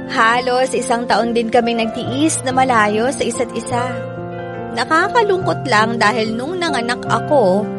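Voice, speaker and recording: An actress playing an earnest young wife and mother, narrating her story in a measured, sentimental radio drama style. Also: a little high. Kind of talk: scripted first-person radio drama narration. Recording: music bed under voice. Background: music.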